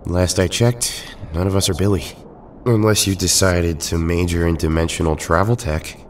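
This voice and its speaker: Man acting a very low-voiced steady hero. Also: moderate pace, a little hiss or hum, close to an everyday voice. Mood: wry, practical. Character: steady, wry heroic male Power Ranger leader. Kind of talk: audio drama acting.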